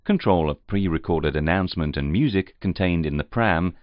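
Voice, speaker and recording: A man reading scripted instructional narration in a formal, measured, carefully enunciated way. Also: low-pitched, no echo. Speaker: training narrator. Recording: slightly muffled, dull audio.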